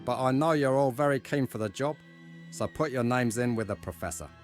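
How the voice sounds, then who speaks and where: A man's voice: mid-range voice, fairly quick, soft background music, lightly acted. A man playing a hearty, domineering explorer rousing his team with old-fashioned phrasing in an audio drama.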